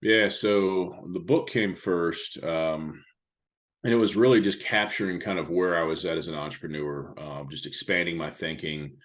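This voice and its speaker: A middle-aged man from the Indianapolis area with a loud radio-style voice, chatting casually as a podcast guest and trailing off quietly at the ends of phrases. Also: low-pitched, steady tone, moderate pace. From Indianapolis area, USA. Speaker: podcast guest, speaker and author. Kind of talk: casual interview talk.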